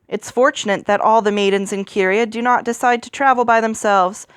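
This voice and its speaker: A woman's voice: on the high side, steady tone, a little fast, clean tone, dry recording, studio quality, lightly acted. A woman voicing a gloomy male knight in a storybook reading, with a melancholy, complaining manner.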